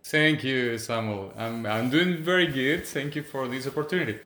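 A man's voice: medium pitch, fairly bright tone, slight background noise. Venezuelan man with a Spanish accent, echoey. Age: 30s. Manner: halting, restarts phrases, laughs often. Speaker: guest evangelist. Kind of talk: casual interview.

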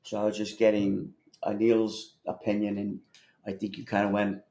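A man's voice: on the low side, completely flat delivery, normal speed, gravelly, warm tone. A man hosting a casual call-in discussion, talking in a blunt, rambling way with plenty of swearing. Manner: profane, blunt, rambling explainer. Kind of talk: casual chat.

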